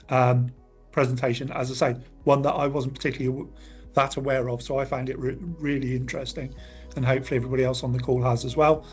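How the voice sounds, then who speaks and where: A man hosting an online seminar, friendly and brisk as he thanks speakers and closes the session. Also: medium pitch, husky. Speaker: webinar host. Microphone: video call.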